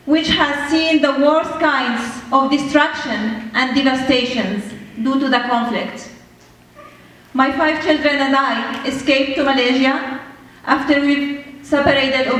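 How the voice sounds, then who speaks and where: A woman from Syria giving an earnest prepared speech about being a refugee, speaking slowly and with measured care. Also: high-pitched, fairly bright tone. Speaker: speaker sharing refugee story. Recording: echoey hall from far off.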